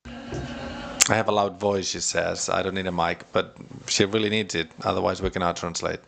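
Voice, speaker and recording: A man interpreting a conference panel live into English, with a halting, hesitant delivery and many pauses. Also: low-pitched, flat delivery, creaky, fairly bright tone, slight background noise.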